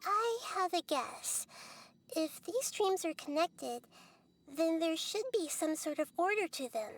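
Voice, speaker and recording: A young-looking goddess of wisdom with a high, girlish voice. She talks calmly and patiently, laying things out step by step like a gentle teacher. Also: measured pace, full character voice. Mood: explaining. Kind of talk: video game voice acting.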